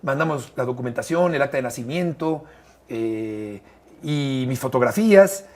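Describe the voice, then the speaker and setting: A Mexican man in his fifties with a Mexican accent, a sports commentator telling stories in an interview, expressive and emphatic with frequent fillers. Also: medium pitch, moderate pace. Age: 50s. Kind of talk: conversational interview storytelling.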